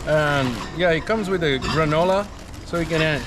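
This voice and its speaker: A young Brazilian man with a Brazilian Portuguese accent, speaking a little higher than most men. He guides a visitor around in enthusiastic casual chat, pausing on fillers. The outdoor city recording carries clear street noise behind him. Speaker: local guide.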